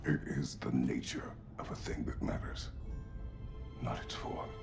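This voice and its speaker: Stoic game warrior: rumbling bottom-register voice, gravelly, clipped and grave. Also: male voice, fairly even intonation, measured pace, faint music behind, exaggerated voice. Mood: solemn. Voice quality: deep and gravelly. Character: stern, terse, battle-worn warrior father. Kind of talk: video game cutscene acting.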